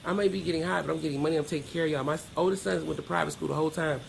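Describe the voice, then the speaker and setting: A woman's voice: sits low, steady tone, rapid-fire, creaky, a little hiss or hum, rough recording. A middle-aged woman giving a candid interview as a podcast guest, speaking in a blunt, emphatic, plain-spoken way.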